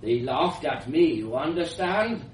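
A man voicing an elderly, eccentric woman scientist with a powerful voice, a foreign accent and halting, grandiose, bitter delivery in a story. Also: fairly high pitch, lively intonation. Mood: indignant. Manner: halting non-native grammar, grandiose, declaiming. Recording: roomy, distant mic with hiss.

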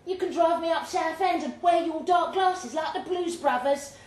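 A woman's voice: extremely high pitch, normal speed, voice pushed up. A woman reader voicing an ex-convict's blunt, wary girlfriend in a live story reading, with emphatic delivery. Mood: hopeful. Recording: roomy pub recording with faint noise.

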